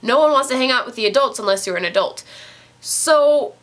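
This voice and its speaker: A woman's voice: sits high, animated, a little fast, fairly bright tone. A woman in her twenties with a stuffy, nasal voice from a cold, chatting casually as a book reviewer. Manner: chatty, rambling with fillers.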